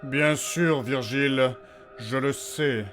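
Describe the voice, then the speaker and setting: An actor playing a calm, quietly menacing master in a fantasy audio drama, measured and falsely reassuring. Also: male voice, mid-range voice, soft background music.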